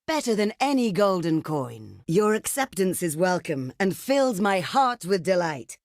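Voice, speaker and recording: A woman's voice: lowish voice, big pitch swings, unhurried, dry recording, studio quality. A woman playing a proud, imperious ancient desert queen for a video game, speaking in a regal, theatrical, declamatory way. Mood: gracious, approving. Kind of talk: video game diplomacy voice lines.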